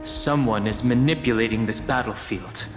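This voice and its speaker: A man voicing a reserved young warrior companion in a video game, calm, serious and understated. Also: mid-range voice, normal speed, over music, close to an everyday voice. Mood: reserved, pensive.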